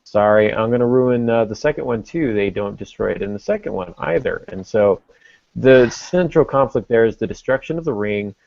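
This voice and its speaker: A man's voice: mid-range voice, expressive, moderate pace, creaky, a little mellow, no echo. A man co-hosting a casual podcast discussion, explaining things as he thinks them through. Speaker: podcast co-host. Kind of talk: casual discussion of fiction writing. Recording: dull lo-fi podcast audio.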